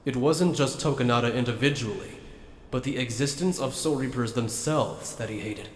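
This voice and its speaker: A man's voice: mid-range voice, normal speed, natural voice. A man playing an earnest, troubled soldier in an anime fan dub, speaking introspectively. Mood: regretful, reflective. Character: earnest, troubled male soldier reflecting on his justice. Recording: roomy long reverb, faint noise.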